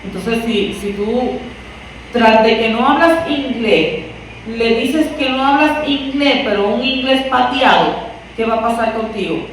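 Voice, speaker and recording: A woman's voice: a little high, measured pace. A woman from Puerto Rico with a Puerto Rican accent, preaching a conversational sermon and asking her listeners rhetorical questions. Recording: echoey room from far off, faint noise.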